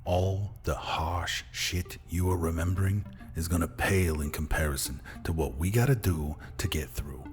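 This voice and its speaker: Man as low-voiced, determined rebel. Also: normal speed, few pauses, faint music behind, natural voice. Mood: earnest, resolute. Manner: earnest, plain-spoken. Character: determined, streetwise rebel man rallying a friend. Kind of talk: radio drama acting.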